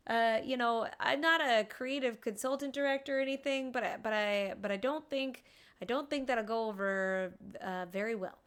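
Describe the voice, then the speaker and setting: A woman's voice: fairly high pitch, moderate pace, a bit bright, clean tone. A woman game streamer giving casual, playful reactions, often stammering and repeating words as she comments.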